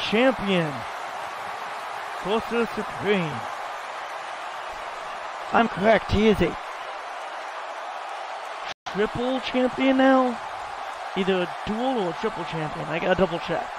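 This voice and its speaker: A man commentating his own wrestling video game match in loud, excitable play-by-play, exclaiming and swearing at the big moves. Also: sits high, takes it slowly, long pauses. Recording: loud game crowd and noise behind.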